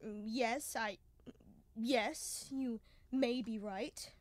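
Young woman as protesting detective's companion, slow delivery, dry sound, indignant gentleman, old radio drama. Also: on the high side, dry recording. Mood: doubtful. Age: young adult. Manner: hesitant, protesting. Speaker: student actor. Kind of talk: 1930s-style radio drama acting.

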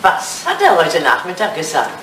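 A woman's voice: lowish voice, huge pitch swings, moderate pace, fairly bright tone. An actress playing an elderly, curious English lady in a 1980s German radio drama, with a ladylike, inquisitive and theatrical manner. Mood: curious, probing. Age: older. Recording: distant, roomy, noisy old radio recording.

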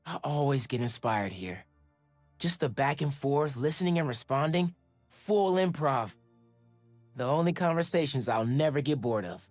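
An actor playing a sincere, enthusiastic teenage superhero in a video game, speaking casually. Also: male voice, mid-range voice, animated, moderate pace, dry recording, faint music behind, lightly acted. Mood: fond, admiring. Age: young adult. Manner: casual, earnest.

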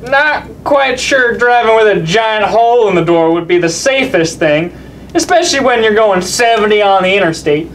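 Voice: mid-range voice, expressive, moderate pace, fairly bright tone, slight background noise, close to an everyday voice. A performer voicing a mild, good-natured dad puppet in a children's show, hesitant and folksy.

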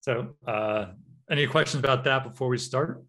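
American man giving a relaxed training talk. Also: medium pitch, normal speed. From United States. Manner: relaxed, frequent uh and um fillers. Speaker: cybersecurity trainer. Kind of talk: online workshop lecture over slides.

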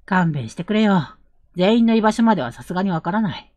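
A woman voicing a calm, protective young man, an elder brother in a Japanese period audio drama, with a husky voice and measured, earnest delivery. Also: medium pitch, no echo, close to an everyday voice. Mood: gentle, serious.

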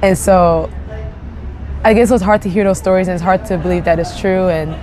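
A woman's voice: lowish voice, fairly even intonation, brisk, a crowd in the background. A young woman raised in Japan with Japanese as her first language, sharing personal stories in a thoughtful, casual way, with many "you know" fillers and restarts. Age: young adult. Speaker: interviewee. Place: outdoors among large tropical plants.

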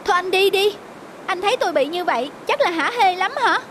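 A woman voicing a sharp-tongued, pert young female street vendor in a cartoon. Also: very high voice, lively intonation, slight background noise. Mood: resentful. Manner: pert, quick to argue. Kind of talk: cartoon dubbing. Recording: music behind the voice.

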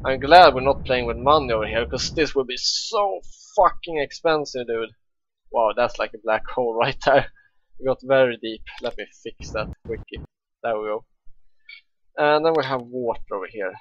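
Young Swedish-accented gamer, chatty and excitable, mid-pitched, slightly muffled home mic. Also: male voice, normal speed. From Sweden. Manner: chatty, excitable, swears freely. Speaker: let's play gamer. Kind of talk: casual gameplay commentary.

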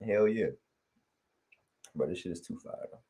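Low dark-voiced young man from LA. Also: measured pace. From Los Angeles. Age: young adult. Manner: relaxed, rambling with many fillers. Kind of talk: casual chat. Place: home room on video call. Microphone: headset on video call.

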